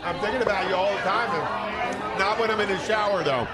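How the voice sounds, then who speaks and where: A man's voice: sits high, fairly quick, heavy background noise. A man hosting a casual podcast chat, bantering in a rambling, jokey way. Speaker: podcast host. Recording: outdoor urban spot with loud crowd.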